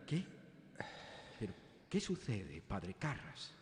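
Formal bishop in a radio play, lively intonation, long hall reverb. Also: male voice, on the low side, slow pace, full character voice. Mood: puzzled, composed. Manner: formal, informative. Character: dignified senior churchman, calm male bishop. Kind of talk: radio drama acting.

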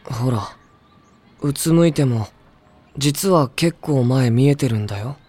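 A man playing an aloof, unbothered high school gamer in an anime audio drama, giving deadpan, mumbled short replies. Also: takes it slowly. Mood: indifferent.

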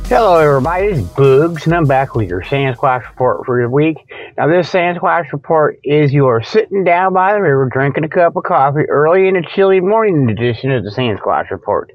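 A man's voice: a little high, animated, a little fast, soft background music, low-quality recording. A man hosting a radio show with a rambling comedic monologue, folksy and stammering, wandering off topic and chuckling at his own jokes.

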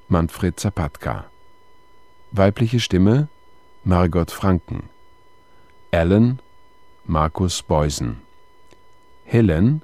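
A man's voice: low-pitched, warm tone, no room sound. A man reading the closing credits of a radio play as a formal, scripted announcement.

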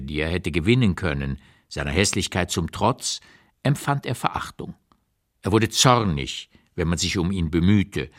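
An actor playing an aged male writer who looks back on a dead friend in a deliberate, emphatic literary monologue for radio. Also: lowish voice. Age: older. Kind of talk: literary reading, dramatized memoir monologue.

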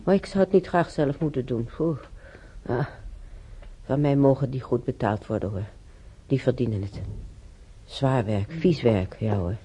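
An actress playing a weary, timid elderly former housemaid in a radio play, with a breathy, husky voice and halting, sighing delivery. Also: female voice, very deep voice, warm tone, exaggerated voice. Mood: mildly insistent. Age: older. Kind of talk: naturalistic radio drama monologue and dialogue. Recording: dull, muffled radio play recording.

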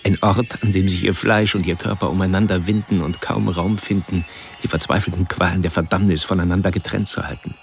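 Radio thriller killer: cerebral, cold, measured monologue, very deep creaky voice, music underneath. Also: male voice, steady tone. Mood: hypnotic, lecturing. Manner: measured, deliberate, lecturing. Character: cold, cerebral male killer narrating his obsessions. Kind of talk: radio crime drama monologue.

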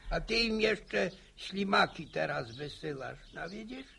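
Creaky old village grandpa. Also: male voice, high-pitched, animated, sharp tone, slight background noise, full character voice. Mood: teasing. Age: older. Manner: repetitive, halting, folksy interjections. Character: old Polish village grandfather, folksy, nodding along, gently teasing. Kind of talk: radio drama dialogue.

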